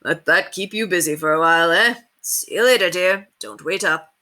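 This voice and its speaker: A woman's voice: fairly low pitch, moderate pace, pushed and bright. A woman voicing a blustering, offhand upper-class husband from a storybook, breezy and distracted. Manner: breezy, distracted, tags sentences with what and eh. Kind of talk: storybook character voice read aloud.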